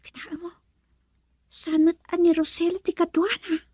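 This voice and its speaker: An actress with an Ilocano accent playing an excitable, prying woman in a radio love drama. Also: very high-pitched, a little slow, dry recording, clean studio sound. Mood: gossiping.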